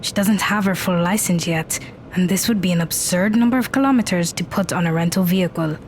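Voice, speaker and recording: A woman's voice: on the low side, a little fast, slight background noise, natural voice. A woman playing an aloof, critical young woman in an audio drama, with a dry, dismissive manner.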